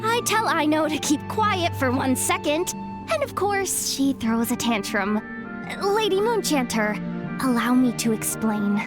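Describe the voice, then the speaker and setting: A blunt, level-headed young woman with a high voice. She is matter-of-fact and cautioning, in video game style with faint music behind. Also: big pitch swings, measured pace. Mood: dry, insistent. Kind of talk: video game cutscene acting.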